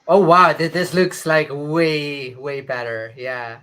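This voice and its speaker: A man's voice: a little high, slow, crowd noise. A man co-hosting a casual chat in a jokey, agreeable manner. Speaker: co-host.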